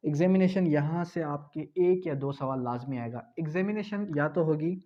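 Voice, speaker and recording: Male law tutor, higher voice, drills points through questions; muffled low-fi recording. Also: moderate pace. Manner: explains with rhetorical questions and repetition. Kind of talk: exam-prep law lecture. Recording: dull lo-fi recording.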